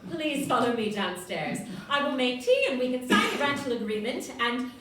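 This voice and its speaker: A woman acting a fussy, firm Victorian London landlady in a stage audio play, with an emphatic, brisk manner. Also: high voice, big pitch swings, fairly bright tone. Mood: businesslike. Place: live performance in a club room. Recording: echoey room, distant mic, faint noise.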